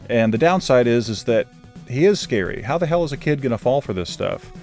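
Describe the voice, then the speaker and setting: A man's voice: mid-range voice, brisk, soft background music. A man giving a casual, rambling film review, self-deprecating and loose, with plenty of fillers. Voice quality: says his own voice is normally monotone. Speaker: movie reviewer.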